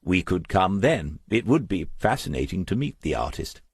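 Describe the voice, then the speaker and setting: A man playing a retired male detective in an audiobook, polite, mild and quietly inquisitive. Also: low voice, big pitch swings, moderate pace, husky, dry recording, heavily acted. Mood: casual, probing. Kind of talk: audiobook character dialogue.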